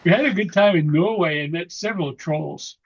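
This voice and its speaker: Male club member, ill and on the mend; jokey and stumbling; muffled video-call audio, slightly high voice. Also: a little fast, vocal fry, breathy edge. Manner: joking, stumbling restarts. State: recovering from illness. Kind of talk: casual chat.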